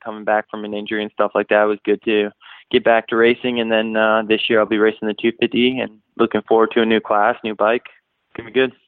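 A young Canadian man, a pro motocross racer, answering interview questions over the phone in a relaxed way, full of uh and you know. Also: lowish voice, quick pace, no echo. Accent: Canadian, slightly worn toward American. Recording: tinny dry phone line.

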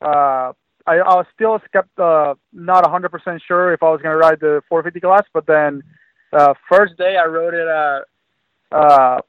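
A man's voice: a little high, moderate pace, no room sound. Young Venezuelan-born man on a muffled line. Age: 20s. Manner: relaxed, frequent fillers. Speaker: guest, professional motocross racer. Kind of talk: casual interview.

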